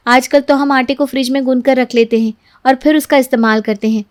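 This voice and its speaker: Woman doing a sweet new bahu in a moral story, high soft voice, polite, kinda preachy. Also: steady tone, brisk, natural voice. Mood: earnest, instructive. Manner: polite, soft-spoken, earnest. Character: gentle, health-preaching new young daughter-in-law.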